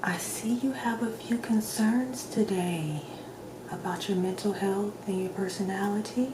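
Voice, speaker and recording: Slow, hushed woman in ASMR roleplay. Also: medium pitch. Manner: gentle, hushed, often slipping into whisper. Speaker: ASMR creator playing a doctor. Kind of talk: soft-spoken ASMR roleplay. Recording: roomy echo with clear background noise.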